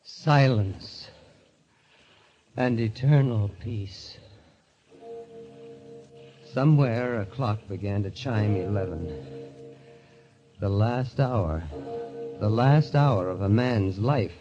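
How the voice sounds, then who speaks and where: A man's voice: fairly low pitch, slow, long pauses, highs cut off, over music. An actor playing a despairing, broke man who longs to die, in melodramatic 1940s radio style. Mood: grim, weary. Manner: melodramatic, brooding first-person narration. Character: despairing, broke man narrating his own suicide attempt. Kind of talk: 1940s radio drama acting. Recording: vintage 1940s radio recording.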